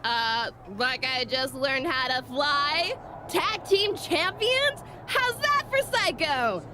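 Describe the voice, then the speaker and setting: A woman playing a cocky, excitable young wrestler in a video game cutscene, with playful emphasis. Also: high voice, slow pace, background noise. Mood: playful, excited.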